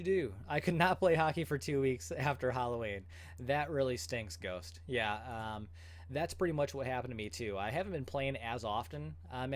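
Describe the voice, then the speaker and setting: A man in his thirties hosting a casual livestream from home, chatting with many fillers and an upbeat tone. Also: mid-range voice. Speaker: goalie channel host.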